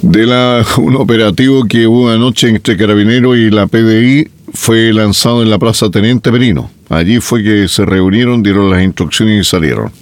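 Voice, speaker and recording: A man's voice: on the low side, no echo. A man with a Chilean accent presenting the local morning news on radio, reading items and adding folksy, chatty asides.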